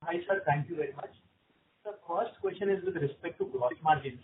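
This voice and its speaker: An unclear phone connection with faint noise carries a man's fairly high voice. He speaks as an analyst putting questions on an earnings call. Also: brisk, phone-quality sound.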